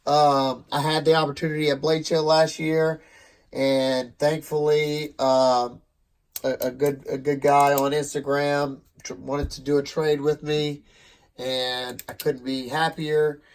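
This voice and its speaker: A man's voice: on the high side, flat delivery, unhurried. A man with a congested, nasal voice casually reviewing a knife in a vlog, rambling a bit and talking straight to his viewers. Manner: rambling, loose, self-correcting, speaks to viewers directly.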